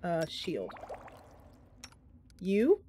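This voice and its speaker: A woman's voice: on the low side, huge pitch swings, very slow, mellow, a little hiss or hum. A woman streaming a game, casually commentating live and thinking aloud as she chats with her viewers.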